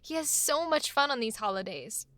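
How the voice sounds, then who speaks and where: A woman's voice: high-pitched, sing-song, moderate pace, a bit bright, no room sound. An actress playing a gushing, coquettish young society woman in an old-time radio drama.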